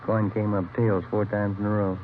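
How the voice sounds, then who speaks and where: Actor as low-voiced plain-spoken police detective. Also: male voice, flat delivery, normal speed, lightly acted. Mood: casual. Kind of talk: 1950s radio crime drama acting. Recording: muffled, hissy old radio recording.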